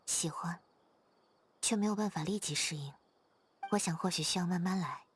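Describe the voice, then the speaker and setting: A woman voicing a gentle, reserved young ballerina in a video game, speaking softly, with measured hesitation. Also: fairly low pitch, slight background noise, close to an everyday voice. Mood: quiet, tender. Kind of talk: video game character dialogue. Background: soft background music.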